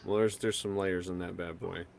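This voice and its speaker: A male podcast co-host talks in a loose, rambling way, full of fillers and restarts. His voice sits a bit low for a man. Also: flat delivery, moderate pace, creaky. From United States. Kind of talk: casual chat.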